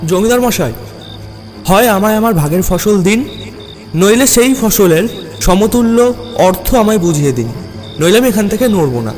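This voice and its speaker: A man's voice: high-pitched, animated, moderate pace, long pauses, a little mellow, soft background music. A man playing an aggrieved, defiant peasant farmer in an audio horror story, insistent and demanding. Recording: long hall reverb.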